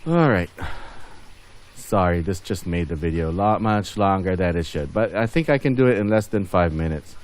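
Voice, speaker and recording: A man's voice: deep voice, expressive, moderate pace, a little mellow. A man doing casual gameplay commentary as host of a gaming video, rambling and thinking aloud as he goes.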